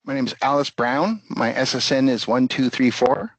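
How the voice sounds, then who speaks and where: A man's voice: mid-range voice, normal speed, no echo. A man giving an informal live product demo in the role of presenter.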